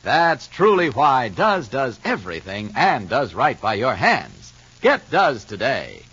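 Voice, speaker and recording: A man's voice: medium pitch, wildly swooping pitch, takes it slowly, a bit bright, husky. A man working as a 1950s radio announcer, reading narration and ad copy in a polished, emphatic style. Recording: muffled old radio recording.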